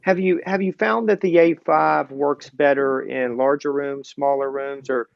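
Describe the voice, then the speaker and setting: Gravelly male interviewer, dull dry sound. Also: a little high, steady tone, unhurried, no echo. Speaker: interviewer, audio magazine editor. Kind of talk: conversational interview questions.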